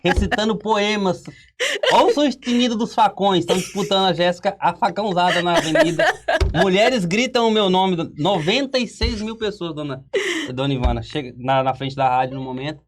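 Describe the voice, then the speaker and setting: A man's voice: sits high, expressive, brisk. A Brazilian man hosting a casual podcast, talking in a playful mock-hype, exaggerated comic way. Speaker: podcast host.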